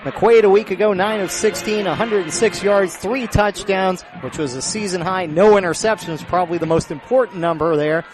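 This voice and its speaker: Guy doing live sports radio commentary, really high pitched voice, urgent clipped calls from the venue. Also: normal speed, hardly any pauses, poor audio. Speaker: play-by-play sports announcer.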